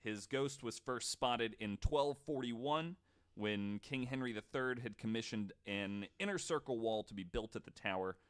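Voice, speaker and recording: A man's voice: mid-range voice, unhurried, clear, resonant tone. A man hosting a podcast, telling stories to co-hosts in a casual, joking, informal way with some swearing. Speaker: podcast host.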